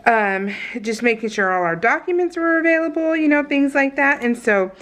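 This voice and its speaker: A woman's voice: high voice, animated, moderate pace, fairly bright tone. A woman with an American accent chatting casually and unscripted as a vlogger, in a rambling, giggly, storytelling way. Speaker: vlogger hosting a scrapbooking process video.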